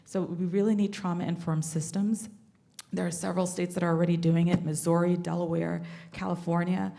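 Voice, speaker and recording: Woman on a panel talking mental health, dark low voice, calm explaining. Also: gravelly. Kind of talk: panel discussion remarks.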